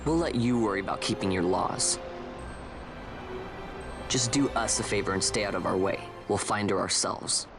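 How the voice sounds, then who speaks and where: An actor playing a bold, stubborn young adventurer in a video game, speaking in a blunt, informal, defiant way. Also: male voice, on the low side, moderate pace, gravelly, pauses often, music in the background. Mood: determined.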